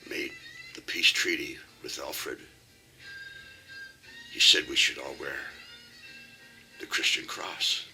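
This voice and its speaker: A man putting on a slow, wry old Viking. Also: extremely low pitch, huge pitch swings, gravelly, bright, forceful tone, soft background music, voice pushed down, full character voice, poor audio. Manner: slow, wry storytelling delivery.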